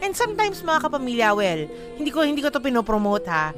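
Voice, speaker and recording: A woman's voice: sits high, big pitch swings, fast talker. A woman with a Filipino accent co-hosting a radio show, giving casual, emphatic relationship advice in mixed Tagalog and English and often seeking agreement. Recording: music bed behind the voice. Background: background music.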